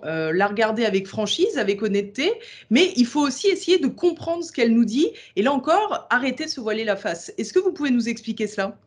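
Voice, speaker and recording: A woman hosting an interview, putting questions in a polite, measured way with some hesitant phrasing. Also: fairly high pitch, slightly rough. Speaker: interviewer, host.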